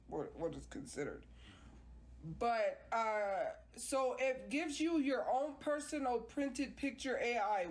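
A woman streaming live from home with a congested nose, chatting in a rambling, hesitant way with many restarts. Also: on the high side. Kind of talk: casual livestream chat. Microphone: phone.

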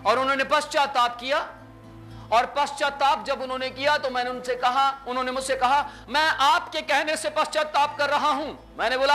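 A man in his thirties preaching a sermon on a small indoor stage, acting out conversations with lively gestures. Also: extremely high pitch. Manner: animated preacher, acts out dialogue with sweeping gestures. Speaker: pastor.

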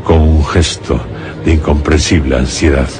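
A man with an extremely low voice narrates a radio tale of horror. His delivery is grave and deliberate, broken by dramatic pauses, with music clearly playing underneath. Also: fairly even intonation.

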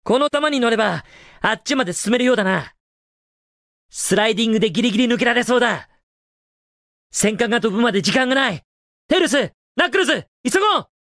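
A man's voice: extremely high pitch, very animated, fast, long pauses, fairly bright tone, no room sound, studio quality, full character voice. A male actor voicing a cocky, daring young cartoon hedgehog hero in a video game, with cool, confident, casual delivery. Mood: urgent, determined. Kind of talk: video game voice acting, short in-game lines.

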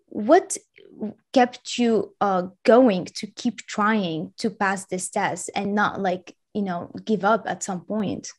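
A woman's voice: medium pitch, slow. Upbeat young woman hosting an interview. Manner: upbeat and encouraging. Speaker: exam prep host. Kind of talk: video interview and intro. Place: small room indoors. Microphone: desk microphone in frame.

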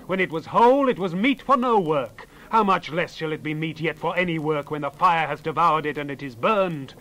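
An actor playing a stern prophet who proclaims God's judgment in an emphatic, declamatory dramatized scripture reading. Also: male voice, high-pitched, sharp tone, poor audio. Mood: questioning, grave.

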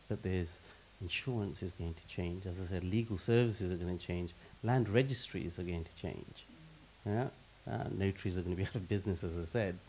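A man's voice: low voice, dark tone, a little hiss or hum, poor audio. A middle-aged man on a panel, talking expansively into a handheld mic and stacking examples, often repeating a word as he starts. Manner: expansive, repeats words when starting, gives lists of examples. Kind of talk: panel discussion answer. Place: stage in a meeting hall with audience.

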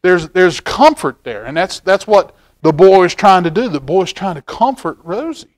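A middle-aged man doing a library storytime, reading a picture book and chatting to children in an expressive, folksy manner, repeating phrases for emphasis. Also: high-pitched, moderate pace. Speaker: library storytime reader, stand-in host. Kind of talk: animated picture-book read-aloud with asides to children. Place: indoor library room, seated at a table.

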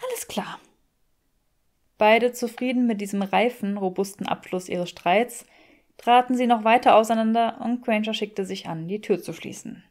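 A woman reading an audiobook, telling the story expressively in a measured, wry manner. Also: mid-range voice, a little mellow. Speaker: audiobook reader. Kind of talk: expressive scripted fiction narration in German.

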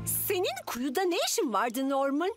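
A woman's voice: high voice, expressive, moderate pace, over loud music. A woman voicing a fussy, dramatic mother in a children's cartoon. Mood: scolding. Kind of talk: animated children's cartoon dub.

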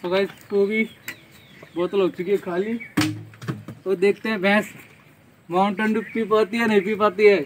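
A young man giving excited, repetitive casual vlog commentary to his viewers outdoors. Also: high-pitched, normal speed, pauses often. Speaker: vlogger. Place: open dirt farmyard, outdoors. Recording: noisy outdoor yard, birds and animals.

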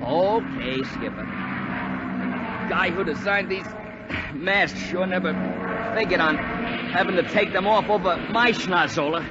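A man in a 1940s radio drama playing an eager, wisecracking young bomber crewman, excitable and slangy. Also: sits high, a little slow, long pauses. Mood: nervous, strained. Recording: noisy muffled 1940s radio broadcast recording. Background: loud aircraft engine noise.

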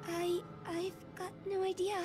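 Very high-pitched anime schoolgirl. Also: little pitch movement, measured pace. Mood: hesitant. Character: timid, squeaky young schoolgirl in anime visual novel. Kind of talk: visual novel voice acting. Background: game music.

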